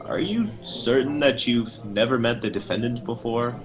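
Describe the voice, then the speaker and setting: A man's voice: medium pitch, animated, over music, close to an everyday voice. Courteous defense lawyer played by a man. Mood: polite, probing. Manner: polite, measured, formal. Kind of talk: radio play courtroom acting.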